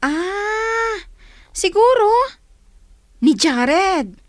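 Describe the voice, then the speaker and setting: A woman's voice: extremely high pitch, animated, very slow, no echo, studio quality, full character voice. A woman playing a teasing older sister, a village girl with an Ilocano accent, chiding in a girlish way in a radio drama.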